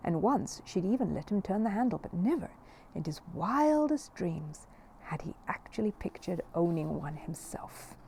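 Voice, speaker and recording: A woman reading a children's story aloud as an expressive narrator. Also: on the low side, vocal fry, slight background noise. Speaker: audiobook reader.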